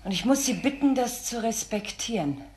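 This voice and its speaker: A woman's voice: a little high, expressive, moderate pace, a little mellow, slight background noise, close to an everyday voice. An actress playing an anxious but resolute, protective woman in a crime radio drama. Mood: firm, strained.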